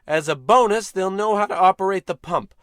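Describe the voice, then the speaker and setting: A man's voice: high voice, fairly quick, a bit bright, no echo, studio quality. A man playing a bumbling, pompous American space captain in a melodramatic old-time radio comedy style. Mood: boastful.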